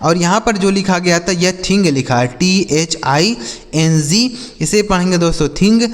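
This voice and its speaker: A man's voice: sits high, normal speed, long reverb tail. A man teaching English vocabulary, spelling each word letter by letter and repeating its meaning several times. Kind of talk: slow spelled-out vocabulary lesson.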